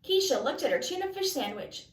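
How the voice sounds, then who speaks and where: A woman reading a children's story aloud as an expressive narrator. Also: high voice, a little fast. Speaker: storybook reader. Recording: echoey room, distant mic.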